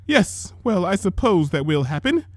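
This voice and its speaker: A high-pitched unicorn lady from a pony story, acted out by a man. She is a doting, dramatic older sister with a prim manner and a temper, and her pitch swings widely. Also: very animated, moderate pace, husky, no room sound, studio quality, exaggerated voice. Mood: soothing, fussing.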